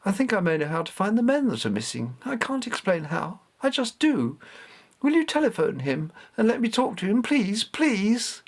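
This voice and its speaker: A man's voice: high-pitched, sing-song, normal speed, pitched above the performer's own voice, heavily acted. Man doing a pleading young boy. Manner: pleading, breathless. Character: eager, urgent young boy.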